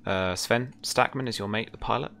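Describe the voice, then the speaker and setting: Deep, bright-voiced young man as game master. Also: normal speed, creaky, slight background noise. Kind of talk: casual rules explanation and game narration.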